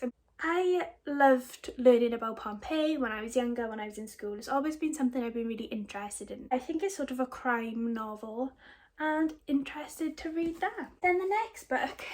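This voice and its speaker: A young woman with a Welsh accent, chatting casually and rambling a little as she shows off a book haul. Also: fairly high pitch. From Wales. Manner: chatty, rambling, giggly asides. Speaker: book vlogger.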